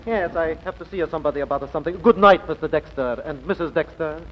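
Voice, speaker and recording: An actor playing a hapless, naive, hesitant suitor in 1940s radio style. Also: male voice, fairly high pitch, fast, close to an everyday voice. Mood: flustered. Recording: muffled, reverberant old radio recording.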